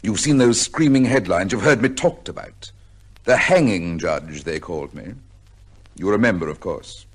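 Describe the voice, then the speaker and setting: A stern, dramatic judge. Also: male voice, deep voice, expressive, normal speed, slightly rough. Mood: brooding, confiding. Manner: formal, emphatic, dramatic. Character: stern, cold, proud retired English high court judge. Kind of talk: old-time radio drama acting, first-person narration. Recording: old radio recording with music.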